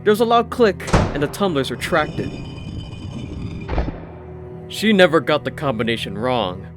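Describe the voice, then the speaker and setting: A man's voice: on the high side, big pitch swings, unhurried. A man narrating a scripted fantasy story in the manner of an audiobook. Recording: music behind the voice. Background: background music.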